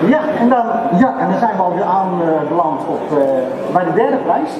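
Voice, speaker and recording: In a big, heavily reverberant hall with a loud crowd, an older man presents awards the stage. His voice is high for a man and. He talks informally and haltingly, as if chatting to the audience. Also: animated, muffled recording. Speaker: prize ceremony presenter. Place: large indoor event hall. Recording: echoey large hall, loud crowd noise.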